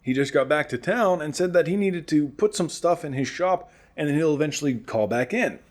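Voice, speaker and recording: Man playing post-apocalyptic morning radio host, higher-pitched, animated, affable, hesitant, chatty, comedy audio drama. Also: fairly quick, natural voice. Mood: rambling, upbeat. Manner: hesitant, rambling, gently teasing.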